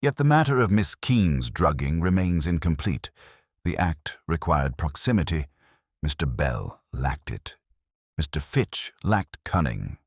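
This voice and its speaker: A man voicing a commanding, cerebral private detective who makes formal, deliberate pronouncements in an audio story. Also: rumbling low, very animated, slightly rough, no room sound, studio quality, full character voice.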